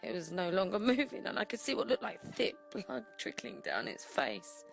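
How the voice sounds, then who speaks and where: An actress playing a professional career woman surviving an apocalypse, narrating an audio drama in a deliberate, theatrical way. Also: mid-range voice, sing-song, vocal fry. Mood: panicked. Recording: voice over loud music bed. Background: music.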